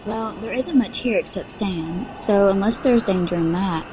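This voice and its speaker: A woman's voice: medium pitch, moderate pace, noisy background, close to an everyday voice. A woman playing a curious, sceptical young travelling companion in an audio drama, questioning and a little dry.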